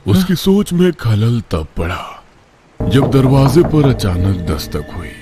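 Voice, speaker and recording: A man's voice: medium pitch, sing-song, takes it slowly, over music. A man narrating a scripted horror story in a dramatic, suspenseful way.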